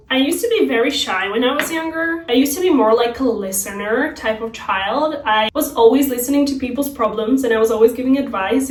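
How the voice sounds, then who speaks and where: A young adult woman from Slovakia with a Slovak accent, a native Slovak speaker, chatting casually to viewers as a lifestyle vlogger in an open, earnest way. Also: fairly high pitch. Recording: roomy, distant microphone.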